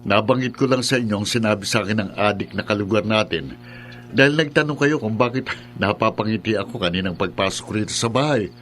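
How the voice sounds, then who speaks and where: An actor playing a level-headed, dismissive grown son in a Filipino radio drama. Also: male voice, fairly low pitch, brisk, a bit bright, soft background music, lightly acted. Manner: dismissive, matter-of-fact.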